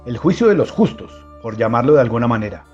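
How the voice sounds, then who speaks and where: An actor playing a stern priest who sits as judge in an audio drama, speaking in a solemn, decided, menacing way. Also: male voice, mid-range voice, very animated, fast, darkish tone, lo-fi sound, soft background music, natural voice. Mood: solemn, threatening.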